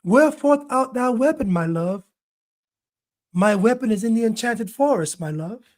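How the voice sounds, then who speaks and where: A man's voice: very high-pitched, expressive, normal speed, darkish tone. Man doing a mock-Shakespearean lover, swooning, theatrical, pleading. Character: swooning melodramatic old-fashioned lover, adult.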